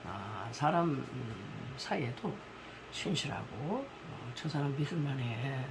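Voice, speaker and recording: A male pastor preaching a sermon, hoarse from a cold, talking haltingly with many fillers and pauses. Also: medium pitch, animated, noisy background. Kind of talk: sermon with prayer.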